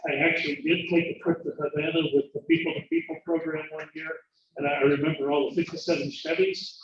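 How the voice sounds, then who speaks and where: A man introducing a guest speaker in a conversational way, pausing often with "uh" fillers. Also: a little high, steady tone, a little hiss or hum. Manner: conversational, hesitant with many "uh" fillers. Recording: echoey room, from far off, dull lo-fi sound.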